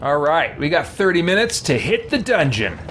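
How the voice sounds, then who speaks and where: A man's voice: on the high side, sing-song, moderate pace. A man giving casual livestream game commentary, thinking aloud in a hesitant way. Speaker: game streamer. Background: faint game music.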